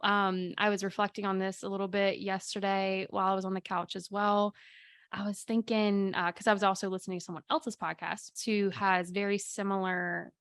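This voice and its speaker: A woman with a slightly raspy voice hosting a casual solo podcast from her home office, telling chatty stories with quick asides. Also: mid-range voice, flat delivery, a bit bright, dry recording, studio quality. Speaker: podcast host and spiritual coach.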